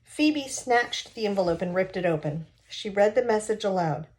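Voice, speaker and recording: Lady doing a read aloud of a novel, medium pitch, expressive, throws in little explanations. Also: normal speed, low-quality recording.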